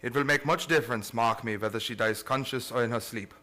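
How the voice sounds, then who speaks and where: An actor playing a grim, commanding doctor and vampire hunter in an old-time radio drama. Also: male voice, medium pitch, fairly quick, bright, forceful tone, lightly acted. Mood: grim, resigned.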